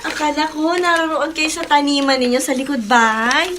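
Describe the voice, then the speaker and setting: A woman's voice: sits high, unhurried, noisy background. An actress playing a neighborly, easily flustered woman patient with a secret in a radio drama. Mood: cheerful, neighborly. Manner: hesitant, stammering. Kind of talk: Tagalog radio drama acting.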